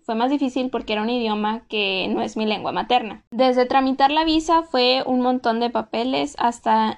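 Young Monterrey Mexican woman, fairly high, smooth clear voice, chatty and relaxed, filler-heavy. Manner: conversational, frequent "pues" and "o sea" fillers. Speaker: former exchange student. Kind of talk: casual first-person account.

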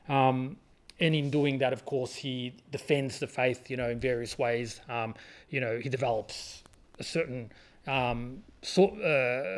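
A man leading a study group, reading passages of a religious text aloud and explaining them in an informal, hesitant way with many ums and restarts. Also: medium pitch. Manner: informal and conversational. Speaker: study circle leader.

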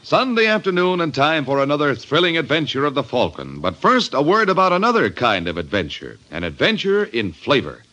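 A man's voice: fairly high pitch, wildly swooping pitch, fairly quick, few pauses, bright, forceful tone. A man announcing and narrating a scripted 1950s radio show. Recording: noisy old radio recording.

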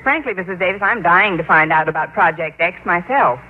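An actress playing a wry, self-deprecating high school English teacher with a dry, sardonic delivery, narrating and acting in old radio comedy style. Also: female voice, lively intonation, a little fast, close to an everyday voice. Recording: tinny, hissy old-time radio broadcast.

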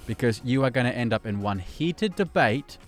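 A man's voice: medium pitch, expressive, normal speed, soft background music. Easygoing man telling travel stories. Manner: easygoing storyteller, laughs along. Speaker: podcast co-host. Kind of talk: casual podcast chat.

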